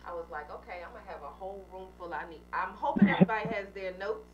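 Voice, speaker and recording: A woman's voice: mid-range voice, racing, highs cut off, a loud crowd. A woman hosting a radio talk show, introducing a guest in a chatty, upbeat way with frequent fillers.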